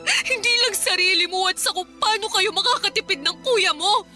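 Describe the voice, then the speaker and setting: A Filipino actress playing an embittered, worn-out live-in partner in a radio drama, reproachful, tearful and emphatic. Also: female voice, very high-pitched, normal speed. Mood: angry, hurt. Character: embittered, exhausted live-in partner and young mother leaving. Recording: music bed under the voice.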